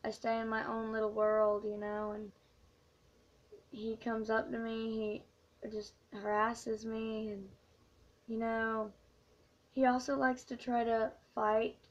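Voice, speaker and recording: A teenage girl vlogging late at night, hoarse and quiet, speaking in a hushed, halting way with many fillers. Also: on the high side, completely flat delivery, muffled recording. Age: teens. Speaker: YouTuber. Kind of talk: late-night confessional vlog.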